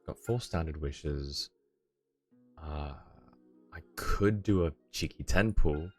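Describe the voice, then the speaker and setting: A man's voice: extremely low pitch, takes it slowly, soft background music. A man live-streaming a video game, chatting casually with viewers as he plays. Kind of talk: casual chat while playing a game for viewers.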